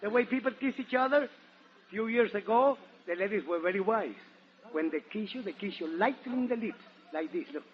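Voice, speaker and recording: A man with a Nicaraguan Spanish accent delivers comedy in a theatrical 1940s radio manner. His voice is high and swings widely in pitch. The old recording is dull and muffled. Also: fairly quick. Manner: theatrical, animated, exclamatory. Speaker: guest comedian and entertainer on a radio show. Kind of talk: 1940s radio comedy monologue before a live audience.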